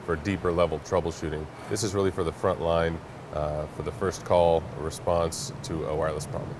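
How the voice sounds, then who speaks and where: Low-voiced, matter-of-fact man explaining. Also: flat delivery, a little fast. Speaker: network product company representative, guest. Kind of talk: explanatory product demo in an interview. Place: large indoor warehouse staging space. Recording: clear background noise of a warehouse.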